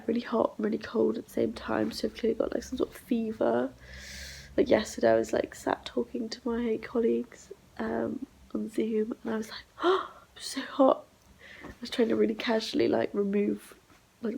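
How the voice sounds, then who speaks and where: A young woman vlogging at home while sick and congested, rambling in a low, half-whispered voice. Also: flat delivery, normal speed, creaky, a little mellow, husky, poor audio. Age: young adult. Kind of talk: casual diary vlog. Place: flat, indoors.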